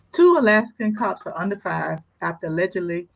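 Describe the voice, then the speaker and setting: A woman's voice: fairly low pitch, moderate pace, creaky, low-quality recording. A woman co-hosting a casual talk show who reads news stories aloud, stumbles over words and adds wry asides.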